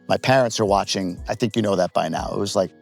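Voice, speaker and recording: A man's voice: deep voice, fast talker, creaky, soft background music. A man in his forties from the United States, talking candidly and with jokes, casually to camera as a vlogger. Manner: candid, joking, conversational.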